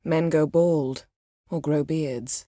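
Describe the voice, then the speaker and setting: A proud video game sorceress with a fairly low voice and a very dry, close sound. She is cool and commanding, with a wry, sharp tongue. Her delivery stays measured and imperious. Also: steady tone, no echo. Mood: dry, sardonic.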